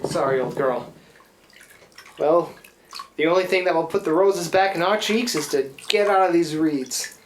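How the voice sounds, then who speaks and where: An actor playing a rough, weary, affectionate riverboat man in a live radio play, with a folksy, emphatic way of talking. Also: fairly high pitch, a little slow, long pauses, lightly acted. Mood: weary, frustrated. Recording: echoey live stage, clear background noise.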